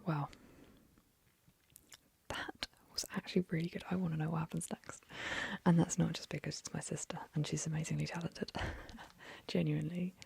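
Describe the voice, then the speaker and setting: A young woman whispering an ASMR story reading into a close mic, softly, with gentle fillers. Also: low voice, level tone, moderate pace, creaky, husky, no room sound. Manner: soft whisper, chatty with fillers after the story.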